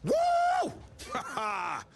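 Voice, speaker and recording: An actor playing a folksy, excitable football coach in a scripted video game scene. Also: male voice, extremely high pitch, extremely slow, gravelly, a little hiss or hum, heavily acted. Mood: excited.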